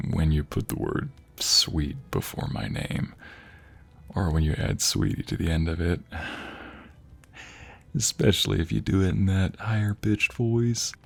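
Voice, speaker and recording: A man playing an affectionate, playfully teasing husband in an intimate ASMR roleplay, soft, husky and gravelly, with murmured coaxing and breathy whispers. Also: low-pitched, full character voice. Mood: teasing, amused. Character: affectionate, playfully teasing, sappy husband doting on his wife.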